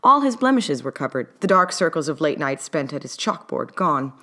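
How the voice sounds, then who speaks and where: Low-voiced woman with an old-timey mid-Atlantic accent. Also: very animated, moderate pace, a bit bright. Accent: mid-Atlantic, old-timey English-leaning. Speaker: author reading own story. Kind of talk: audiobook-style narration of fan fiction.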